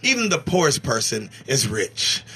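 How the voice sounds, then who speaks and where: Animated gospel singer, bright and forceful. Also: male voice, medium pitch, moderate pace, husky. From United States. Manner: animated, emphatic, laughing often. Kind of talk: candid radio interview. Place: radio studio.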